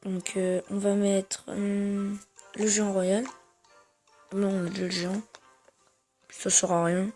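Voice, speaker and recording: A man's voice: high-pitched, completely flat delivery, slow pace, long pauses, a little mellow, faint music behind. A man with a head cold giving rambling, hesitant gameplay commentary to his viewers. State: has a head cold, congested. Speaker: gamer.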